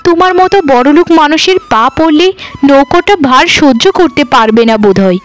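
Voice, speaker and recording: An earnest younger village sister-in-law in a cartoon, voiced by a woman. Her voice is high and lamenting, with faint music behind it. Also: expressive, a little fast. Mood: sarcastic. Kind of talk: Bengali cartoon dub acting.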